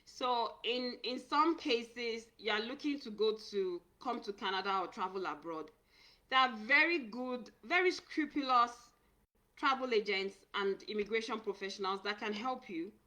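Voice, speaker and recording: A woman's voice: fairly high pitch, animated, normal speed, pushed and bright. A woman who works as an immigration consultant, giving earnest advice on a live stream and often repeating or restarting words as she speaks. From Nigeria. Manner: earnest, repeats and restarts words, reads viewer comments aloud. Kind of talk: live-stream talk answering viewers.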